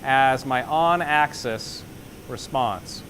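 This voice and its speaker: A man's voice: mid-range voice, very slow, background noise. A man giving a hands-on demonstration lecture as an instructor, explaining things methodically, step by step. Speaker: audio engineering instructor.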